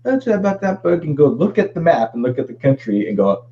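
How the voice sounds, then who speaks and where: A man's voice: on the high side, very animated, brisk, mellow. A man tossing teasing remarks into a casual podcast chat, heard on a dull lo-fi feed. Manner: teasing interjections. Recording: dull lo-fi sound.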